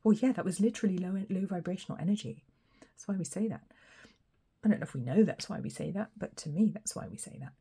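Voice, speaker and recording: A woman with a hoarse, croaky voice after a cold, giving a chatty, encouraging tarot reading straight to the viewer. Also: medium pitch, fast talker, mellow. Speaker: tarot reader. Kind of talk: casual pick-a-card tarot reading.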